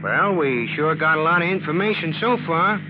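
A comic, uneducated police sergeant from old radio drama, acted by a man. He is pleased with himself and speaks in slangy, broken grammar, in a fairly high voice. The recording is dull and faintly noisy. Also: animated, normal speed, close to an everyday voice. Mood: cocky. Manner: slangy, ungrammatical, self-satisfied. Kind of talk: 1940s radio detective drama acting.

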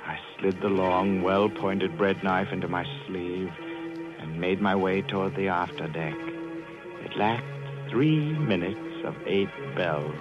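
An actor playing a nervous male murderer who narrates his crimes in 1940s radio drama style, growing frantic. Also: low voice. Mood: hushed. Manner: slow, then frantic and unravelling. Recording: muffled old radio broadcast with music.

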